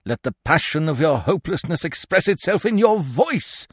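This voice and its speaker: A man's voice: fairly high pitch, big pitch swings, moderate pace, husky, no echo, studio quality, voice pushed up. A man voicing a huge, pompous, angry bourgeois father in a storybook, declaiming with contempt. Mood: bombastic, contemptuous.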